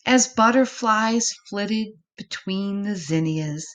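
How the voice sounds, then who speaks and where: A woman reading a storybook aloud to children in an expressive way, with chatty asides to her listeners. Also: mid-range voice, slow. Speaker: storybook reader.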